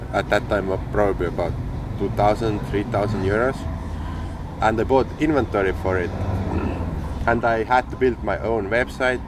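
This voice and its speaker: A man telling his story in casual conversation, explaining things in a steady, step-by-step way, recorded outdoors in a sunny city. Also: on the low side. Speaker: podcast co-host, entrepreneur. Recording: clear street and traffic noise.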